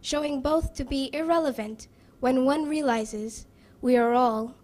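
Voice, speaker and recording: A woman reading a scripted narration in a measured way. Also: high voice, darkish tone.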